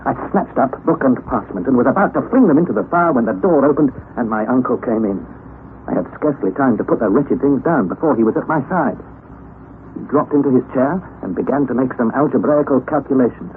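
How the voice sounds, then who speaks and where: An actor playing an earnest young nephew who narrates an adventure in a dramatic old-time radio style. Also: male voice, mid-range voice, lively intonation, a little fast, close to an everyday voice. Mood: tense, secretive. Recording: tinny, narrow, noisy vintage radio recording.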